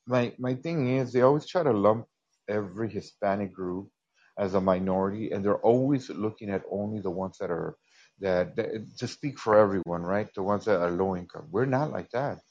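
A man calls in to a podcast with a fairly low voice, and the audio comes through dull and lo-fi. He talks earnestly at length, repeats his words and restarts his sentences as he goes. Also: moderate pace, darkish tone. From United States. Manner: earnest, repetitive, stammering restarts. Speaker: caller. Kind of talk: casual call-in chat.

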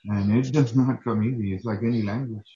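A man calling in to a stream, calm and reassuring in casual chat. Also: fairly low pitch, a little fast, highs cut off. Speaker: caller.

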